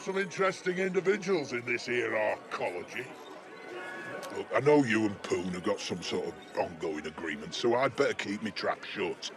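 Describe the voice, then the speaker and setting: An actor playing a chatty, jaded older working-class British local in a cyberpunk video game, speaking in a slangy, matey, sardonic way. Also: male voice, fairly low pitch, animated, normal speed, music in the background. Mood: sardonic, grumbling. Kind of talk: video game character dialogue.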